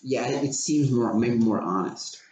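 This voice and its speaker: A man's voice: mid-range voice, moderate pace, a little mellow. A man co-hosting a casual chat, musing and explaining his point with repeated phrases. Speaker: co-host. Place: living room, indoors. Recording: echoey living room with background noise.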